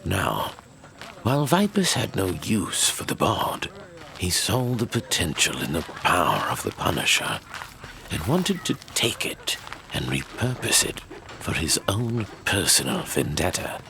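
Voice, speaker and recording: A man playing a measured, knowing storyteller of dark fantasy lore, speaking with deliberate dramatic emphasis. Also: sits low, wildly swooping pitch, creaky, noisy background, full character voice. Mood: grave storytelling.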